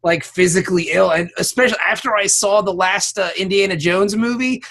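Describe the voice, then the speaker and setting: Male co-host, high bright voice, excitable casual storyteller who exaggerates freely. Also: brisk. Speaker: podcast co-host. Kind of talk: casual animated chat and anecdotes.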